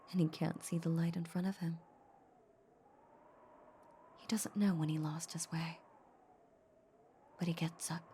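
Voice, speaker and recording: A woman playing a seductive, possessive female vampire in a breathy, whispered ASMR audio roleplay, lingering and teasing on her words. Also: fairly low pitch, warm tone, slight background noise. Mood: brooding, hushed. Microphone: close microphone.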